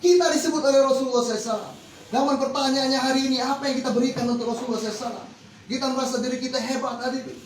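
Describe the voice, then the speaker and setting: Fervent high-voiced preacher in echoing hall. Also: male voice, fairly even intonation, rapid-fire, a little hiss or hum. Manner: fervent, rhythmic, repeats formulaic phrases. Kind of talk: Islamic sermon to a congregation. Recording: echoey hall recorded from far off.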